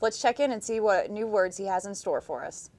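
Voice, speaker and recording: A woman's voice: mid-range voice, expressive, a little fast. A young woman reading scripted links as a student news anchor. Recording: faint background noise.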